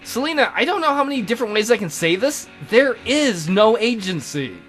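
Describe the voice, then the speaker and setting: Visual novel tutor, acted by a man: awkward, exasperated, highish and forceful, pleading his case. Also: sing-song, fairly quick, soft background music. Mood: flustered, pleading.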